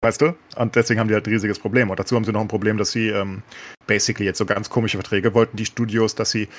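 A man's voice: fairly low pitch, level tone, very fast. A man in a casual podcast discussion, talking colloquially and opinionatedly with English words mixed in. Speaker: podcast co-host.

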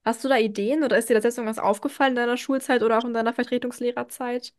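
A woman's voice: fairly high pitch, steady tone, very fast. A young woman hosting an interview, friendly and agreeable, chatting casually and often affirming what she hears. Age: young adult. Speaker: interviewer, host.

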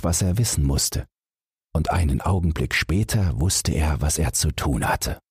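A man with an extremely low, husky voice reads a fairy tale for bedtime. His delivery is measured and expressive, in the way of an audiobook storyteller. The sound is dry and close. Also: no echo. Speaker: audiobook narrator.

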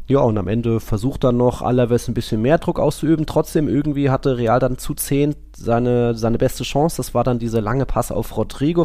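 A man's voice: mid-range voice, warm tone. A man hosting a football podcast, chatting casually and colloquially about matches, often with a chuckle in his words. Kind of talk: casual sports chat.

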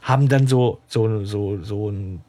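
A man's voice: on the low side, measured pace, dark tone. A man from Swabia, co-hosting a podcast, telling rambling anecdotes in casual chat. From Swabia, southwest Germany. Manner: rambling storyteller, many restarts. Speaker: podcast co-host.

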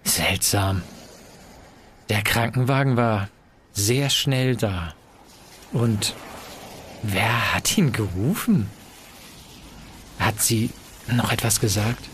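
A man's voice: fairly low pitch, expressive, takes it slowly, long pauses, fairly bright tone, a little hiss or hum. An actor playing a grave, wary, bereaved man in a German audio drama. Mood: grave, suspicious.

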